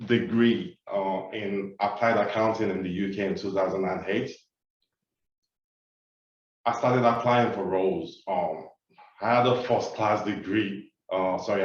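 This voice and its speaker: Nigerian man, echoey distant sound. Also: on the low side. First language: Yoruba. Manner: measured, with frequent "uh" fillers. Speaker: keynote speaker. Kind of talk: webinar talk. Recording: echoey, dull sound from far off the mic.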